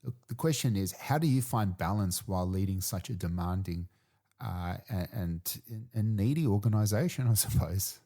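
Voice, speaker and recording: A man's voice: sits low, animated, moderate pace, mellow. A man hosting a podcast, asking questions in a friendly, affirming way with hesitant restarts. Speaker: podcast host. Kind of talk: interview questions.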